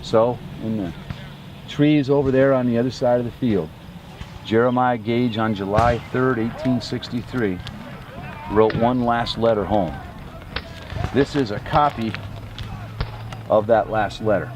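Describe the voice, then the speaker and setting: A man working as a tour guide, telling a dramatic history story to tourists in deliberate, short phrases. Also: medium pitch. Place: outdoors on a battlefield. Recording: clear outdoor ambient noise.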